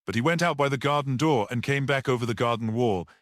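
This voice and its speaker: A man's voice: medium pitch, fast talker, fairly bright tone, dry recording, studio quality, close to an everyday voice. A man voicing an earnest priest-detective in an audiobook, explaining things with rhetorical passion. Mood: reflective, assured. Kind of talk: dramatic audiobook character reading.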